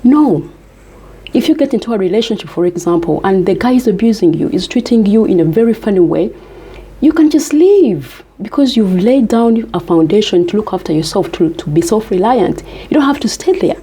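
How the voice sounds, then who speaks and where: A woman's voice: mid-range voice, expressive, normal speed, warm tone, slightly rough. A woman from Uganda, giving earnest, emphatic life advice to camera and repeating her key points.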